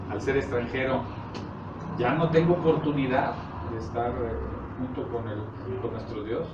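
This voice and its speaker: A Mexican man gives an informal sermon, recorded from far off in an echoey room. He explains scripture conversationally and haltingly, often checking in with short questions, over clear background noise. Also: medium pitch, dull audio. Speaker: preacher. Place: echoey indoor congregation room. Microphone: distant microphone.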